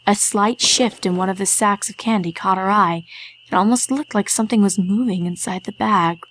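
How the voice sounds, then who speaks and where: A woman reading a fan story aloud in a steady, measured narration. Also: medium pitch, rough recording. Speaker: reader.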